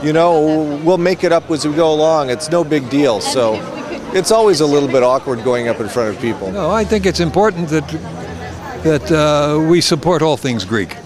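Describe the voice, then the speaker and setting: A man's voice: on the high side, normal speed. A man in his forties, a film director, talking animatedly in an outdoor red carpet interview. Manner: animated, acts out dialogue. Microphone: handheld mic. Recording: crowd noise, voice a bit off mic.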